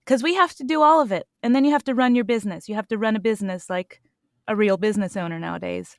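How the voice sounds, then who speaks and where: Woman voice pro, mid-range pitch, earnest and lively, home studio sound, no room. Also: fast, creaky, no room sound. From United States. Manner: earnest, animated, laughs often. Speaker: voice actor guest. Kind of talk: interview answers.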